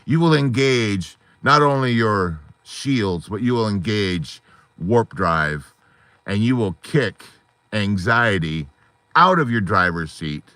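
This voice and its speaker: A man with a lowish, hoarse voice and a forceful, bright edge gives a fervent pep talk packed with metaphors. He speaks into a phone inside a parked car at night, and the sound is dry and close. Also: dry recording. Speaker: support group host. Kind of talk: motivational live stream talk.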